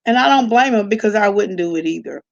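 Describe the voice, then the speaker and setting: A woman's voice: medium pitch, animated, fast talker, creaky, muffled recording. A woman hosting a casual livestream, talking to viewers in an informal, slangy, conversational way. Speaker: live-stream host reacting to online gossip.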